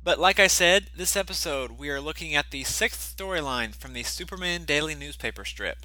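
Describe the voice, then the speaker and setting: A male podcast host with a very bright, forceful tone and a slightly high pitch for a man, a little congested. He talks earnestly into a close mic in a dry, dead room with faint music underneath. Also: animated, normal speed, dry recording, low-quality recording. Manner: earnest, apologetic, reads notes with filler "you know". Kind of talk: casual scripted podcast talk.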